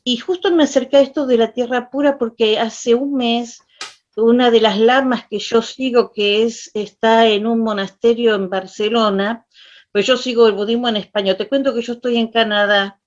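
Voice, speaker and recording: A woman with an Argentine Spanish accent talks in a loose, rambling way, often stopping to hunt for a word. Her voice sits a little high. The sound comes through muffled, like lo-fi video call audio. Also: steady tone, normal speed. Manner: rambling, hesitant, searches for words. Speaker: participant in online Dharma session. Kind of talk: casual conversation, asking questions.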